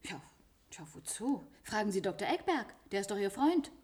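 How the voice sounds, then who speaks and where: A woman's voice: medium pitch, expressive, moderate pace, darkish tone, close to an everyday voice. A woman playing a dutiful, evasive attendant in a radio science-fiction drama, courteous and hesitant. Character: dutiful, evasive female attendant minding a captive.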